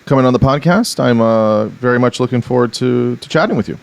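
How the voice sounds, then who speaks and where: A man hosting a podcast, introducing the episode and his guest in a relaxed way with many fillers and restarts. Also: mid-range voice, a little fast, vocal fry. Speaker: podcast host.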